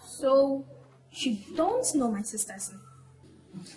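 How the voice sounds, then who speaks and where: Slow, lilting Nigerian young girl. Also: sits high, big pitch swings, darkish tone, slight background noise, full character voice, low-quality recording. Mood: teasing, instructive. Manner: teacherly, teasing. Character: playful, bossy young girl teaching words. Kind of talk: film drama acting. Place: porch outdoors.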